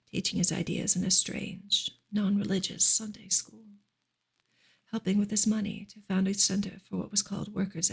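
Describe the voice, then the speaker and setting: A woman gives a soft ASMR reading of literary prose. Her voice is very breathy and husky, often slipping toward a whisper, with a creaky grain. She narrates gently and carefully, keeping her intonation fairly even. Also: mid-range voice. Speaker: reader, ASMR creator.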